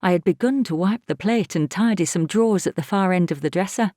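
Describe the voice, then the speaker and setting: Woman as a mellow, measured housekeeper storyteller. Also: on the low side, no room sound, studio quality, lightly acted. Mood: measured, observant. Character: observant, plain-spoken housekeeper recounting a story. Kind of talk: scripted audiobook narration.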